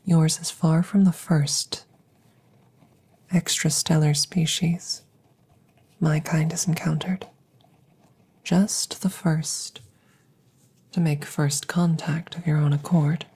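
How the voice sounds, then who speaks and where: A woman voicing a calm, curious plant-like alien scientist in an ASMR audio roleplay, whispering softly and close with precise, formal, detached phrasing. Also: low voice, takes it slowly, long pauses, heavily acted. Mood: clinical, calm. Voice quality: soft, breathy whispering. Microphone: close microphone.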